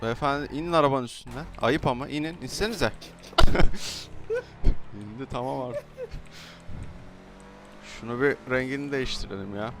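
A man's voice: mid-range voice, normal speed, long pauses, heavy background noise. Playful, mock-polite man joking through game roleplay. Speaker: gaming YouTuber. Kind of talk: casual roleplay trolling banter while gaming.

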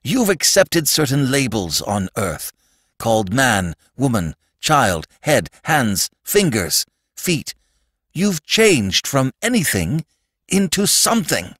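A man's voice: medium pitch, big pitch swings, slow, fairly bright tone, no room sound, clean studio sound, pitched above the performer's own voice, heavily acted. A man voicing a terrified shapeshifting alien in a storybook reading, pleading and frantic in his outbursts. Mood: frightened pleading.

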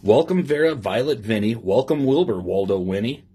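A middle-aged man reading aloud to children in an expressive sing-song way, with simple asides to his listeners. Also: medium pitch, normal speed. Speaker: police lieutenant reading to children. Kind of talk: read-aloud story time with asides to young listeners. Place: small office, indoors. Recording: clear background noise with clicks.

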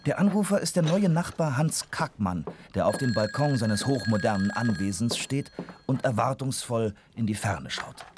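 A man's voice: mid-range voice, animated, moderate pace, background noise. A man narrating a children's radio play from a script.

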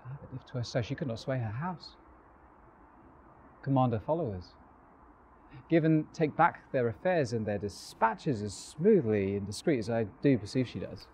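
A man performing a bewildered, exhilarated young Shakespearean gentleman in love, in a declamatory classical stage monologue. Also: mid-range voice, lively intonation, natural voice. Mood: reasoning, puzzled. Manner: declamatory, deliberate, marveling. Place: outdoors, park bench. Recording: noisy outdoor recording with passing vehicles.

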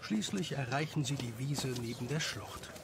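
Male storyteller with a creaky edge, scripted narration over noticeable ambience. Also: medium pitch, expressive, moderate pace, background noise.

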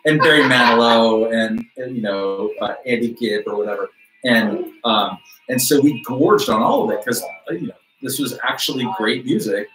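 A man's voice: medium pitch, moderate pace, far from the mic, a crowd in the background. A man in his fifties telling meandering, profane stories in casual chat. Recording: echoey room from off-mic.

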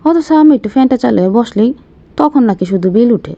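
A woman's voice: on the high side, expressive, fast, vocal fry, mellow, dry recording, lo-fi sound. A woman voicing a sulky, put-upon cartoon youngster with a Bangladeshi Bengali accent, whining indignantly in colloquial speech. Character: sulky, put-upon grown child of a nagging mother.

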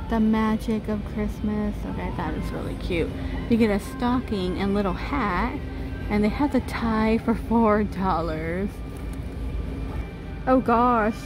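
A woman vlogger chatting casually as she films a store walkthrough on a handheld phone, reading out prices and laughing softly. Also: on the high side. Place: large retail store, indoors. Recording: store music over the voice. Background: holiday music playing in the store.

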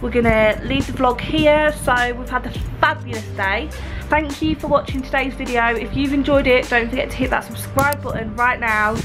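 Brief: woman in her twenties, slightly high, chatty travel vlogger filming herself at arm's length, loud music underneath. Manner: chatty, excitable, slangy. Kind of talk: casual vlog chat. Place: hotel bedroom. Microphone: camera at arm's length.

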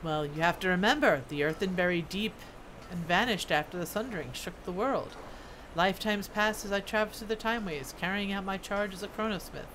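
A woman's voice: mid-range voice, fairly quick, fairly bright tone, slight background noise, natural voice, low-quality recording. A woman voicing a proud, folksy old male dwarf blacksmith from a fantasy game. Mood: reminiscing. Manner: folksy, proud, reading scripted dialogue.

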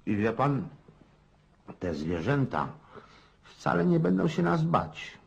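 Blustering old landowner, radio play, pompous, husky, wildly swooping intonation. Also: male voice, mid-range voice, sing-song, slow, dull audio, full character voice. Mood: puzzled musing. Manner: pompous, rambling, folksy. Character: blustering, self-important old countryside landowner.

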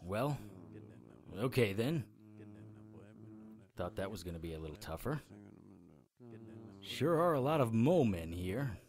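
A man's voice: fairly low pitch, sing-song, measured pace, pauses often, a little mellow. A man playing a defiant, wry occult hero in a radio drama, plainspoken in both narration and dialogue.

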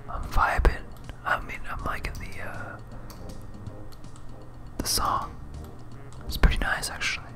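A gaming host giving whispered, breathy ASMR commentary in a casual, self-muttering way while playing. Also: measured pace, pauses often.

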